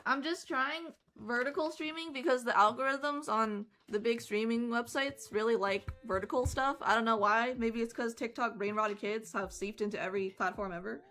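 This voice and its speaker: Hoarse, bright-voiced woman streamer. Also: on the high side, normal speed, hardly any pauses, faint music behind. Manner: rambling with many fillers and restarts. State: hoarse, voice worn out from screaming. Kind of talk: casual chat while drawing on stream.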